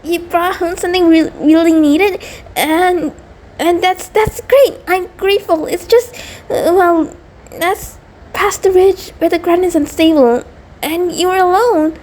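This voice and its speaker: A woman's voice: extremely high pitch, measured pace, darkish tone. A woman playing an anxious, affectionate female centipede monster, nervous, stammering and apologetic, in an intimate roleplay audio drama. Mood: worried, tearful.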